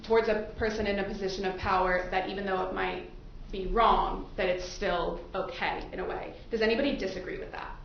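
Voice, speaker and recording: A woman's voice: mid-range voice, fairly even intonation, fairly quick, gravelly, hardly any pauses. A woman attorney questioning prospective jurors in a courtroom, politely probing and repeating their answers back. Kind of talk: courtroom questioning of a jury panel. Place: large indoor courtroom. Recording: very echoey courtroom, distant mic, muffled, faint noise.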